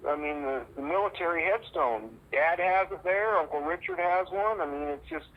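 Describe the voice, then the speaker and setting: A middle-aged man chatting casually over a recorded telephone call, halting and tentative in how he talks. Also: a little high. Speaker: caller in a personal phone conversation. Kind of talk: casual private phone chat. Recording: dull lo-fi phone call recording.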